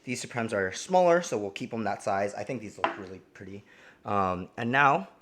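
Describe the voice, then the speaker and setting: A man's voice: lowish voice, lively intonation, normal speed, background noise. A man from New Jersey hosting a live cooking stream, talking casually and rambling with lots of ums and restarts. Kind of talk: casual live cooking demo, reading chat.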